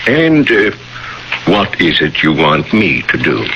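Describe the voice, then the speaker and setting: An actor in an old-time radio drama playing a cautious scholarly professor with a formal, deliberate manner. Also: male voice, low-pitched, huge pitch swings, exaggerated voice. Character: measured, cautious scholarly professor, expert on witches. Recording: muffled, noisy old radio broadcast.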